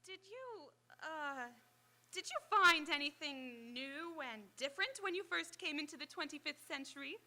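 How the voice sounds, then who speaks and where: A woman's voice: sits high, lively intonation, moderate pace, bright, forceful tone, full character voice. A woman playing an eager, admiring young companion to a scientist, earnest and inquisitive, in old-time radio drama style. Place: live on an auditorium stage.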